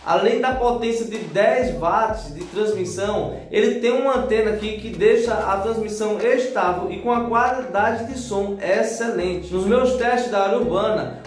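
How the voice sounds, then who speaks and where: A Brazilian man narrates a scripted product review. His voice sits high for a man, and music plays softly behind him.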